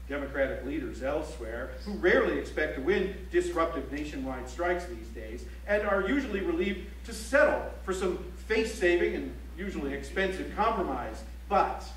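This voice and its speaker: A man playing a high-pitched, formal news reporter. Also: natural voice. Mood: declarative. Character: male news reporter reciting strike reports in measured tones. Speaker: stage actor. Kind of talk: theatrical recitation of news reports. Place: library auditorium stage, live play. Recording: echoey auditorium from far off, faint hum.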